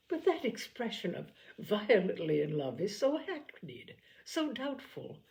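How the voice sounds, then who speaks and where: A sensible, kindly middle-aged aunt in a storybook reading, calm and reasonable, giving gentle counsel, as a woman reader voices her. Her tone is even and measured. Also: mid-range voice, lightly acted, poor audio. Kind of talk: audiobook character voice.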